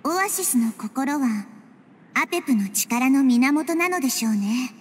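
A woman voicing a gentle, wise, childlike girl deity in a game, speaking politely and with composure. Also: high voice, animated, measured pace, slight background noise. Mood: composed, reassuring. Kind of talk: scripted game dialogue.

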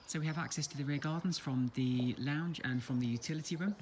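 A man's voice: on the high side, fairly quick. English man, estate agent tour voice, easygoing patter, phone-camera sound with faint background hiss. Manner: relaxed, chatty, plain sales patter. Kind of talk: walk-through property tour narration. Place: inside a detached house and its back garden. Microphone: handheld phone camera.

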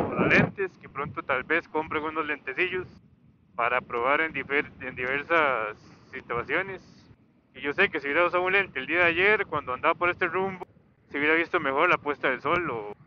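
A man's voice: fairly high pitch, normal speed. Muffled man vlogging while riding. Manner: rambling, hesitant, repeating himself. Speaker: motorcycle vlogger. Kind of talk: casual unscripted chat while riding. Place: outdoors, riding a motorcycle on a highway. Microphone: helmet intercom microphone. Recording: muffled helmet intercom mic, steady vehicle noise. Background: faint motor and road noise.

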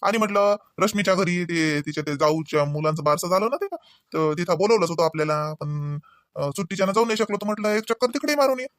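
A man's voice: sits high, animated, fast talker, a bit bright, no room sound, studio quality. A man voicing an easygoing, agreeable husband in a cartoon, rambling casually. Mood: explaining. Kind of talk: conversational cartoon dialogue.

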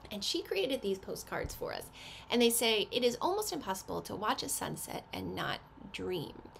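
A woman's voice: medium pitch, very animated, normal speed, creaky. American woman presenting, with noisy room background. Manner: chatty, instructive, addresses viewer directly with questions. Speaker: presenter of subscription box unboxing. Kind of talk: friendly explanatory product presentation to camera. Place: small indoor home office.

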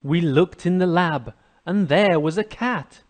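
A man's voice: sits high, unhurried, very noisy. A man with an American accent narrating a scripted children's cartoon story.

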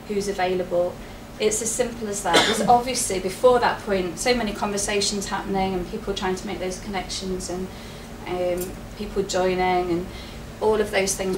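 A middle-aged woman talks a room through a presentation in a relaxed, chatty way, often breaking into laughter. She is picked up by a distant camera, so her voice sounds far off and roomy. A steady background noise sits under her voice. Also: mid-range voice. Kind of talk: public presentation. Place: wood-panelled meeting room with projector. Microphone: far camera, no mic.

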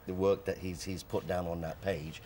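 A man's voice: low-pitched, level tone, normal speed, darkish tone, a little hiss or hum. A man in his sixties with a Trinidadian accent, an actor talking as a guest on a TV studio sofa, emphatic and repeating words for stress. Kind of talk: casual TV interview talk.

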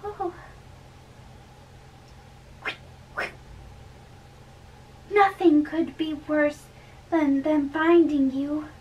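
A woman's voice: sits high, takes it slowly, lo-fi sound, pitched above the performer's own voice. A woman acting an anxious, bashful, girlish young noblewoman in love, in an amateur dramatic reading. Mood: worried. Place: small bedroom, indoors. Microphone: far camera. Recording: distant room microphone.

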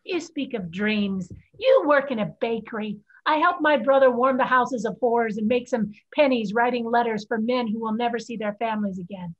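A woman's voice: a little high, normal speed, hardly any pauses, close to an everyday voice. A woman playing a proud, guarded young person living as a boy, terse and firm in stage dialogue. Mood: guarded, defiant. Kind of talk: dramatic dialogue in a play reading. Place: indoors at home on a video call. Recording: dull video-call audio.

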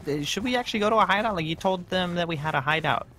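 A young man playing a doubtful apprentice dragon fighter in a Minecraft roleplay, casual, hesitant and quick to question. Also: sits high, expressive, sharp tone. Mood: doubtful, complaining. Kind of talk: improvised Minecraft fantasy roleplay. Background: music.

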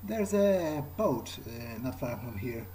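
A man improvising in character during a game playthrough, speaking in a measured, deliberate, hesitant manner. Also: medium pitch, very animated, warm tone, slight background noise. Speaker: gamer role-playing his character.

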